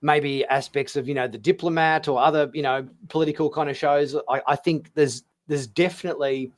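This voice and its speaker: A man's voice: a little high, steady tone, a little fast. A man hosting a casual panel discussion, speaking hesitantly and often repeating words and phrases as he goes. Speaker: host of a fan talk show.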